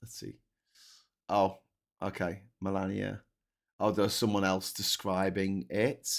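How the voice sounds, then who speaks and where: A man's voice: low-pitched, little pitch movement, slow pace, creaky. Sarcastic rambling man hosting a chat. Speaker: host. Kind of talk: casual livestream chat reading comments.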